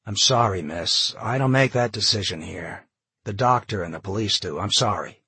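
A man voicing a tired former colonel turned professor who quietly apologizes and refuses a plea. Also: lowish voice, lively intonation, moderate pace, slightly rough, no room sound, full character voice. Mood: somber. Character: weary middle-aged former colonel, now a professor.

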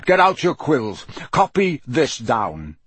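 A man voicing a fierce, gruff old male wizard teacher who barks warnings and drills his class. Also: mid-range voice, huge pitch swings, slow pace, a bit bright, no echo, exaggerated voice. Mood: barking stern. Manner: barking, growling, drilling warnings.

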